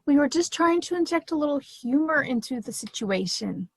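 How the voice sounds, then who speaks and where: A woman's voice: high-pitched, expressive, normal speed, dry recording. A woman playing an eager, attention-seeking costume and makeup artist in an amateur theater comedy, perky and theatrical. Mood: flustered. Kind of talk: comedic web series acting.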